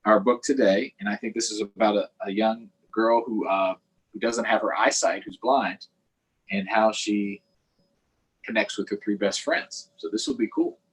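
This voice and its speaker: A man hosting a storytime for children, reading aloud and chatting directly to young viewers in an expressive way. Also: on the low side, husky, low-quality recording. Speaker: storytime host, councilmember. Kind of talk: children's read-aloud and live chat.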